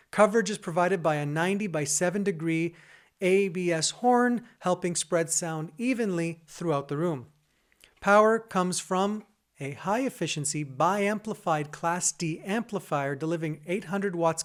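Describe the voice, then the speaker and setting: A man with a full, bassy voice walks viewers through a piece of sound gear. He talks easily and conversationally, calling his audience "guys" as he explains the features. Part of the review is read from a script, and he records it in his own home studio. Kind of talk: casual product review, partly scripted.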